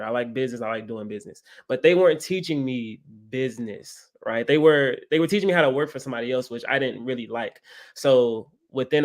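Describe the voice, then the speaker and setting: Male, 20s, interview guest. Medium pitch, casual storyteller who keeps checking the listener follows. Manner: often checks in with "right?". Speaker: guest, credit content creator.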